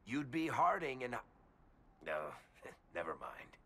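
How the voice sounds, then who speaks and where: A man voicing a wry, joking rogue companion with a gravelly voice in a fantasy video game. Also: medium pitch, sing-song, slow, heavily acted. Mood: amused, teasing. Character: wisecracking male rogue companion, wry and casual. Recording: loud game ambience behind voice.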